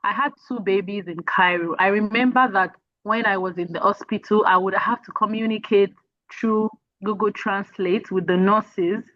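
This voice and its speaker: A woman's voice: medium pitch, fairly even intonation, normal speed, no echo, muffled recording. A woman from Nigeria in her thirties, an emotional wellness coach chatting earnestly as an interview guest and laughing easily. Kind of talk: casual interview chat.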